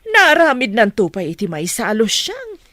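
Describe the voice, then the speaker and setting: A woman's voice: a little high, huge pitch swings, moderate pace, bright, forceful tone, dry recording, full character voice. A woman playing a scheming, pushy mother in a radio drama, speaking with an Ilocano accent. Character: scheming, pushy mother coaching her grown daughter.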